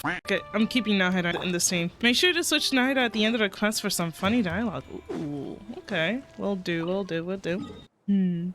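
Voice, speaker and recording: A woman's voice: mid-range voice, lively intonation, fairly quick, a bit bright. A woman streamer giving casual, scattered live reactions to a game, often in short muttered bits. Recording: game music behind the voice.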